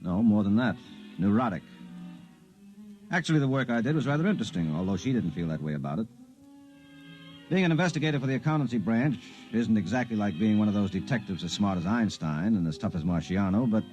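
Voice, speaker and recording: Henpecked male investigator, forties, earnest, lively intonation, brisk, 1950s radio drama. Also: on the low side, faint music behind. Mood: wry, reflective. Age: 40s. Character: mild, henpecked middle-aged male accountancy investigator, earnest and dutiful. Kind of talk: 1950s radio drama acting and first-person narration.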